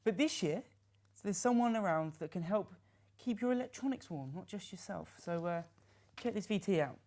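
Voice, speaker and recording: A woman's voice: medium pitch, animated, normal speed, warm tone. A woman co-hosting a studio tech show, chatty and upbeat, sometimes tripping over her words as she introduces segments.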